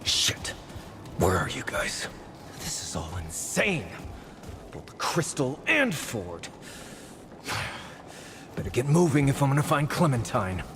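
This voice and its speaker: Desperate game adventurer, hugely varied pitch, slow-paced phrases, music underneath. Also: male voice, huge pitch swings, full character voice. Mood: muttering, dismayed. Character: desperate, frantic adventurer searching for companions. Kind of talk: game cutscene acting. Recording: music behind.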